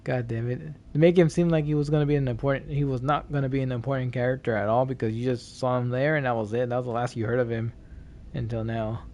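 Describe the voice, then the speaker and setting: A man's voice: medium pitch, steady tone, fast, darkish tone. A man streaming a video game, thinking aloud in informal, sweary live commentary.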